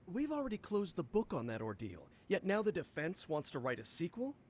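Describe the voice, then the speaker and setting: A game prosecutor whose fairly high voice rises and falls with lively, sarcastic emphasis. He talks down to the defense with haughty condescension. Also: brisk, a little hiss or hum. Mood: condescending.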